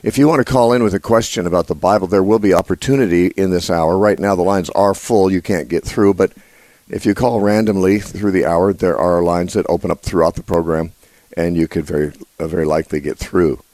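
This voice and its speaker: A man hosts a live call-in Bible program, his voice unusually deep today from congestion. He explains scripture in a halting way, with many fillers and restarts. The sound is close and dry, with no room echo. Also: steady tone, mellow. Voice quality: usually a high nasal voice. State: congested, voice lower than usual. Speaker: radio show host.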